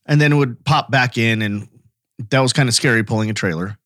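An American man speaks as a podcast co-host, with a medium pitch. He tells stories in a casual, conversational way, and the sound is dry with hardly any echo. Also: a little fast, a bit bright. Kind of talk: casual anecdotal chat.

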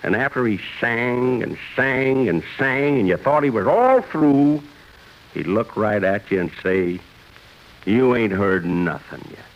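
An actor playing the departing old year as a gentle, reflective old man, speaking in a homespun, fatherly way in a 1950s radio drama. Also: medium pitch, animated, measured pace. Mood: nostalgic, admiring. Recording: dull vintage radio broadcast, music behind.

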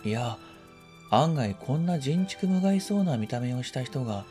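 A man reading a first-person literary story as scripted narration, in a quiet, reflective, measured manner. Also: mid-range voice, animated, soft background music. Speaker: story reader.

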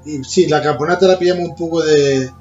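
A man's voice: a little high, fairly even intonation, fairly quick, a little mellow. A man in his forties from La Mancha in Spain, with a La Mancha Spanish accent, co-hosting a podcast and joking around. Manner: joking, teasing, hums song lines. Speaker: podcast co-host. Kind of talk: casual chat about childhood TV songs. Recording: dull lo-fi sound with faint music behind.

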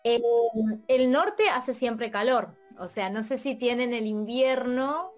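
A Spanish teacher from Argentina, chatting casually in an interview and thinking aloud with many fillers and restarts. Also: female voice, fairly high pitch, lively intonation, takes it slowly, faint music behind. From Argentina, Mendoza. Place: lives in Europe.

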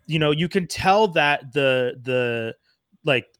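A man with a slightly high voice hosts the talk, speaking in a chatty, halting way that restarts sentences and keeps agreeing. The sound is dry and close. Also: lively intonation, fairly bright tone, clear, resonant tone, no room sound. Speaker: podcast host, interviewer. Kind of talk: casual conversational interview.

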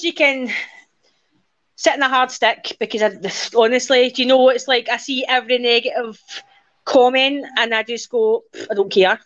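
A high-pitched woman talks in an outspoken, chatty, defiant way. Her voice comes through a dull, lo-fi call line. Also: fairly bright tone. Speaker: podcast guest. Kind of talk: casual chat.

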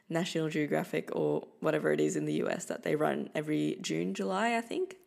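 A woman's voice: on the low side, creaky, a little mellow. A young woman who speaks Filipino as her first language, hosting her own podcast in a chatty, informal way with light laughter. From Philippines. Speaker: podcast host. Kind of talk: solo podcast monologue. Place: Australia.

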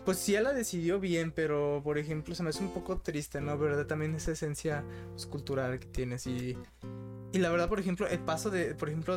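A man with a Mexican accent talks in a loose, rambling way, ending thought after thought with a quick question. His voice sits notably high for a man, and faint guitar plays underneath. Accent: Mexican Spanish. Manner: rambling, keeps adding tag questions. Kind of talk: casual podcast chat.

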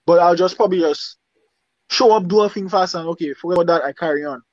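A man's voice: high voice, fast, darkish tone, no echo, highs cut off. A man from Dominica with a Dominican accent, a singer talking casually in an interview and often checking "you understand?". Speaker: singer guest.

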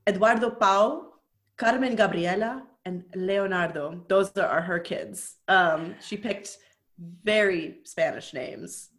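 A woman co-hosting a podcast, talking in a chatty, animated way and laughing often. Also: medium pitch, takes it slowly. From from an Indian family. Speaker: podcast co-host. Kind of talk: casual chat.

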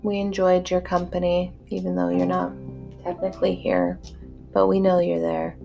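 A woman's voice: fairly low pitch, level tone, normal speed, gravelly, mellow, faint music behind. A woman co-hosting a casual true-crime podcast, blunt and wry, with joking asides. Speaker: podcast co-host.

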